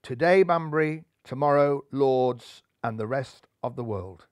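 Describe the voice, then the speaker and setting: A man's voice: mid-range voice, unhurried, dry recording, studio quality. A man reading a storybook aloud as dramatic, theatrical narration, building suspense.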